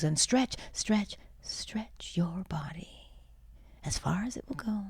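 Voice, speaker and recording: A woman leading a guided sleep meditation in a soft, breathy, often near-whispered voice, soothing, unhurried and gently encouraging. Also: fairly low pitch, no room sound, clean studio sound.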